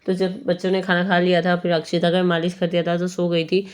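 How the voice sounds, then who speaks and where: A young woman, hoarse from a cold, chatting casually as she narrates her home cooking vlog. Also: fairly low pitch, completely flat delivery, fast. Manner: chatty, homely, meandering. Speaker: cooking vlogger.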